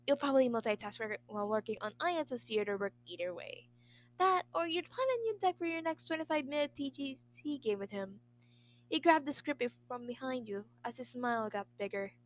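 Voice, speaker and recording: A woman's voice: high voice, animated, a little fast, a little hiss or hum. A woman narrating a scripted story as an amateur reader. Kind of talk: scripted narration of a fan fiction.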